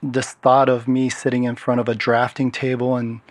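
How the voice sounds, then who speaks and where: A middle-aged man from Washington State speaking as an interview guest, telling his story in a relaxed, hesitant way with many fillers. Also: mid-range voice, moderate pace, no room sound. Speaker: guest, security consultant. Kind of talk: casual interview talk.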